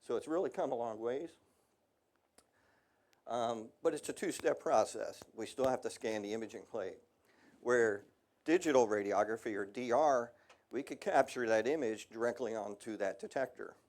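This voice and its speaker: A man's voice: medium pitch, moderate pace, a little mellow. A middle-aged man giving a casual technical seminar talk on industrial radiography, with a slightly worn voice and frequent ums. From United States.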